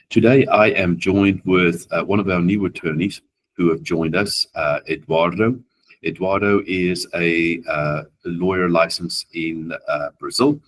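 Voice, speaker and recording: A man, an attorney whose first language is Afrikaans, hosting a legal webinar and speaking haltingly with many fillers. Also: very deep voice. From South Africa. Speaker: immigration attorney, webinar host. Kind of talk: webinar presentation.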